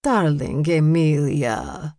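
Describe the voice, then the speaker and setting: A woman's voice: sits low, lively intonation, takes it slowly, mellow, no room sound, studio quality. Woman playing a cooing, drawling rich older socialite. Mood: cooing, prying. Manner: cooing, gushing, domineering. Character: wealthy Russian woman in her fifties, cooing, prying.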